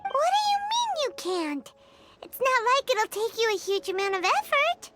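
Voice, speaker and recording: A woman's voice: very high voice, very animated, moderate pace, loud music behind the voice. A woman voicing a small, cute, childlike companion in a video game, curious and pert with a singsong delivery.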